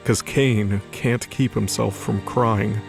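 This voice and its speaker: Male narrator for audio drama, fairly low voice, dramatic scripted storytelling, faint music underneath. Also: fairly even intonation, slow pace, creaky.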